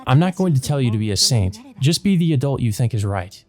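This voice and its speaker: A man's voice: mid-range voice, very animated, fairly quick, darkish tone, soft background music, natural voice. A man voicing a kind, wise female teacher from a visual novel, earnest, instructive and encouraging. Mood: gentle, earnest.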